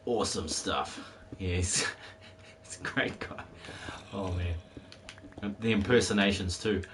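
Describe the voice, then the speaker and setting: A man's voice: deep voice, slow pace, background noise. A man in his forties chatting casually while streaming a game, rambling and laughing easily, his voice still a bit worn from a cold. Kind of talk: casual chat while gaming.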